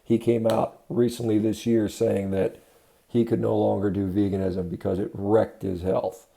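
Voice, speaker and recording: Low-pitched, very dark, gravelly man's voice. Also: level tone. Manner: matter-of-fact, halting delivery with self-corrections. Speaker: host of a diet commentary video. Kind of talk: unscripted critical commentary to camera.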